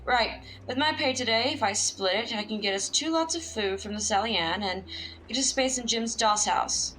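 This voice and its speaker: An actress playing a plucky, streetwise young Victorian match girl in a historical audio drama, brisk, slangy and teasing. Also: fairly high pitch, sharp tone, faint music behind, poor audio.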